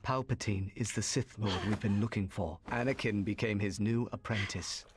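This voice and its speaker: A man voices a troubled, earnest knight in a cartoon video game. His voice is fairly low and grave, pressing with urgency over clearly noisy background sound. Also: normal speed, close to an everyday voice. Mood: urgent. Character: earnest, troubled bearded Jedi knight, middle-aged man. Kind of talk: animated video game voice acting.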